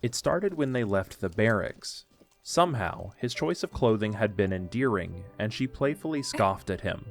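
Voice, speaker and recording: A man's voice: fairly low pitch, expressive, normal speed, soft background music. A man reading scripted narration for an audio drama, telling a story in the third person.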